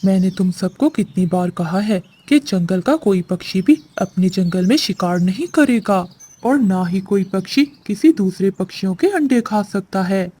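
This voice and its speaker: A woman's voice: medium pitch, animated, measured pace, dark tone, husky. A woman voicing a stern, just and authoritative male pigeon king in a children's cartoon.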